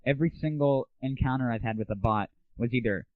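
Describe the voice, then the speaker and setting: A man's voice: medium pitch, brisk, no echo. A man hosting a casual audio show, ranting in a blunt, irreverent and exasperated manner. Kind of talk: casual opinionated gaming and music rant. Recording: tinny, phone-like lo-fi 1990s audio.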